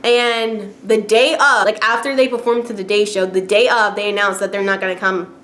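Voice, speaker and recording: A woman's voice: medium pitch, fairly even intonation, moderate pace, a bit bright, a little hiss or hum. A woman vlogging a casual rant to camera, talking loosely with many fillers and little laughs, and growing exasperated as she goes on. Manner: chatty, frequent fillers and laughs, rising exasperation.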